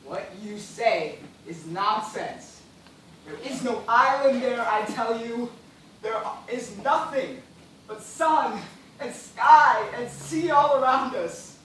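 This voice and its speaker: An actor playing a weary, formal gentleman castaway in an amateur stage play, declaiming with stubborn reason and despair. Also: very high voice, expressive, slow pace, full character voice. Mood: angry, exasperated. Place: theatre stage, recorded from audience. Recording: echoey stage, distant mic, noisy.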